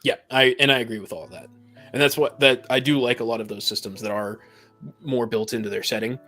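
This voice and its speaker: Male podcast panelist, average pitch, casual yet emphatic and opinionated, with soft music in the background. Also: fast. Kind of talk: casual opinionated discussion of tabletop games.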